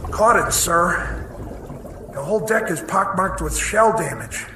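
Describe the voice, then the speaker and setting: A high-voiced, uneasy navy diver. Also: male voice, animated. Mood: strained, urgent. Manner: dutiful, halting military reporting. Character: earnest, uneasy navy diver bosun reporting to his superiors. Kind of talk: radio drama acting. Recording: noisy, echoing radio drama sound.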